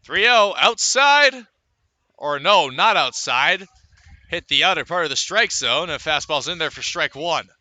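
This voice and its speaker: A man doing live baseball play-by-play as a radio announcer, describing the action in a measured way with pauses between calls. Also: fairly high pitch, expressive, sharp tone, no room sound. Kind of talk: live sports commentary.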